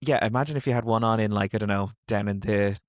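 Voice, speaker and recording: Man, interviewer, slightly low voice, dry recording, conversational and casual. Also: quick pace, no echo. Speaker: interviewer, podcast host.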